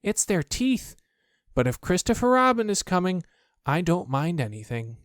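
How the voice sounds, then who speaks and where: A man's voice: sits high, sing-song, normal speed, dry recording, studio quality, pitched above the performer's own voice. A man voicing a small, timid pig in a storybook, with an anxious, earnest manner. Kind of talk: storybook character voice.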